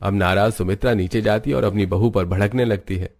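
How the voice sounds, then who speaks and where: Low-pitched male story narrator. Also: steady tone, brisk, a little mellow. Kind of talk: scripted moral story narration.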